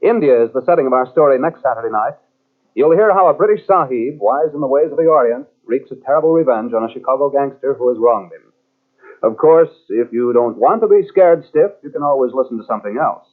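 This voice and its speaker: A man's voice: mid-range voice, expressive, brisk. A man announcing the credits and closing of an old-time radio show in a scripted style. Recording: muffled old radio broadcast tape.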